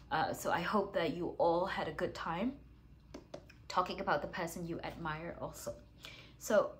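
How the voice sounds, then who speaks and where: A woman English teacher hosting a writing challenge, talking casually to camera while congested with a cold, chatty and self-deprecating, clearing her throat and coughing now and then. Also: fairly low pitch, a little hiss or hum.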